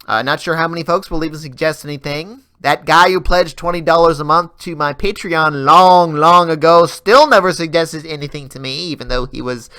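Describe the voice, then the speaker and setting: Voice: mid-range voice, normal speed, few pauses, bright, forceful tone. A gamer giving a rambling, casual update in a self-deprecating way. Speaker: video game Let's Player.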